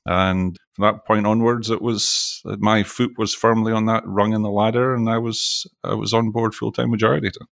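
Deep-voiced Scottish man, dry close sound. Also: flat delivery, normal speed, gravelly, few pauses, fairly bright tone, no echo. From Scotland. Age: middle-aged. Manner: chatty and jokey, with frequent restarts. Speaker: podcast guest, oil industry executive. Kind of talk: casual interview chat.

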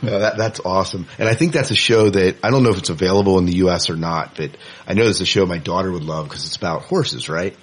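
A man's voice: deep voice, fast, hardly any pauses, slightly rough. A man co-hosting a casual interview, chatting informally and rambling a little into his questions. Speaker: podcast co-host, interviewer.

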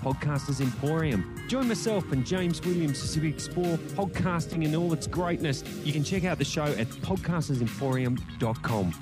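Guy doing a scripted podcast ad, slightly high voice, music playing behind. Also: lively intonation, normal speed, few pauses. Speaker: podcast promo announcer. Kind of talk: scripted promotional read.

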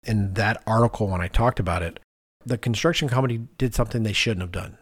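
A man's voice: on the low side, fast, slightly rough, no echo. Man chatting casually in a dry studio. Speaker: podcast co-host. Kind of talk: casual conversational storytelling.

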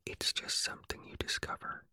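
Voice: moderate pace, sharp tone, no room sound. A storyteller voicing a kindly, confident young boy from a children's storybook in a fondly instructing whisper. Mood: assured, instructing.

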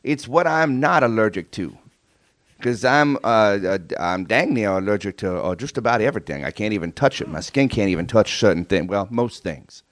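A man's voice: on the low side, lively intonation, normal speed, no room sound, clean studio sound, lightly acted. A man voicing a rambling, eccentric chef with an in an improvised comedy interview, stammering and insistent. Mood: insistent, defensive. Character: rambling eccentric Creole chef promoting his allergy cookbook.

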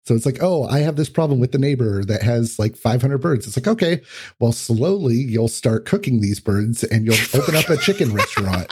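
A man's voice: mid-range voice, animated, fairly quick, darkish tone, slightly rough. A man talking as a podcast guest, explaining things in a casual, conversational way.

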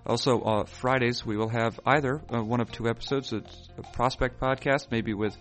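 A man hosting a podcast, talking in a wry, halting way full of fillers, sounding sleepy early in the morning in a hotel room. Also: medium pitch, steady tone, faint music behind. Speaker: podcast host. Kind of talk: rambling podcast intro and chat.

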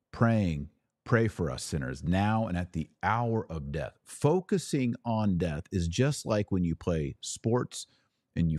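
A man hosting a podcast, giving a religious teaching monologue in an emphatic, deliberate way with dramatic pauses and rhetorical questions. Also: sits low, a little mellow, dry recording, clean studio sound. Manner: didactic, preacher-like rhetorical questions. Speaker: podcast host.

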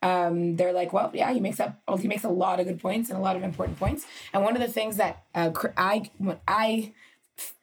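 A woman's voice: mid-range voice, steady tone, brisk. A woman co-host and teacher, talking in a rambling, hesitant way with many restarts. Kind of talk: podcast conversation.

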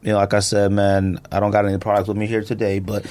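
A man hosting a podcast in casual, joking banter, animated and slangy, often repeating himself for emphasis. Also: fairly low pitch, fast talker. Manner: animated, jokey, slangy and repetitive. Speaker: podcast host. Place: podcast studio, indoors.

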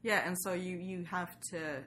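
A woman's voice: on the low side, a little slow, bright, forceful tone, a little hiss or hum. A woman from Sweden chats casually as a podcast guest, rambling through asides and acting out imagined lines. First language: Swedish. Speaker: podcaster and writer, interview guest. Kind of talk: casual interview chat.

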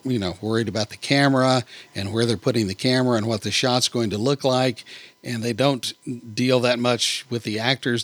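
A man's voice: medium pitch, a bit bright, no echo. A man hosting a podcast, interviewing in a conversational style.

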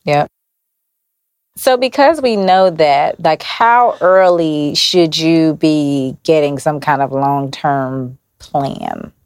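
A woman's voice: low voice, takes it slowly, darkish tone, smooth, dry recording. A woman co-hosting a casual podcast, giving short agreeing replies and asking a brief question.

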